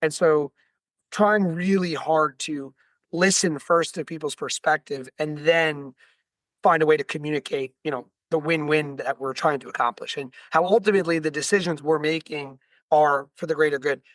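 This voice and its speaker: A man with a slightly hoarse voice, speaking earnestly and explaining things as a guest in a business webinar interview. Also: a little high, fairly even intonation, normal speed, a bit bright, dry recording. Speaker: guest, franchise company founder.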